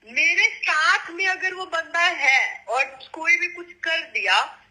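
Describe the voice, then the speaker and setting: Woman arguing on a phone recording, really shrill high voice, defensive and insistent. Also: takes it slowly, sharp tone. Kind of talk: argumentative phone conversation. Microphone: telephone. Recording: thin recorded phone call.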